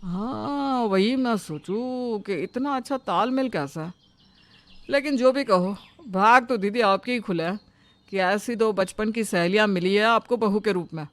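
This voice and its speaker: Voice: medium pitch, unhurried, dry recording, lightly acted. A performer voicing a cheerful, admiring younger brother in a cartoon moral story, praising in an easygoing way.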